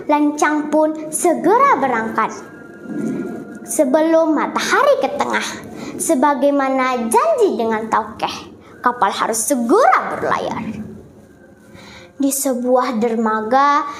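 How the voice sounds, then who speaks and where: A female storyteller telling a folktale in a theatrical, deliberate way, in a roomy, echoing recording. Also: high-pitched, a bit bright, slight background noise.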